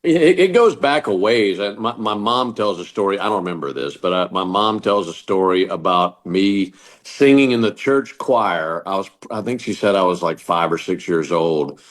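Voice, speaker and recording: A deep-voiced middle-aged man from Mississippi with a Southern American accent. He answers interview questions in an earnest, reflective way, sometimes stumbling over his words as he restarts. His voice sits low and carries the trained polish of a sports broadcaster. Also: expressive, normal speed. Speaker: baseball broadcaster, guest.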